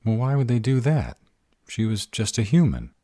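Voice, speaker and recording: A man's voice: medium pitch, big pitch swings, moderate pace, mellow, no room sound, studio quality. A man playing a sarcastic, insecure young vampire in an audio roleplay, with a drawling manner. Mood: puzzled, hurt.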